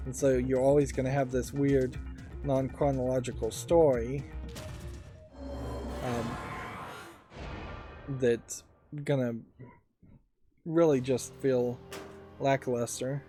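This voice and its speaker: A man's voice: medium pitch, warm tone. A man giving casual, musing game commentary as a let's play host, often hesitant and trailing off. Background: game music.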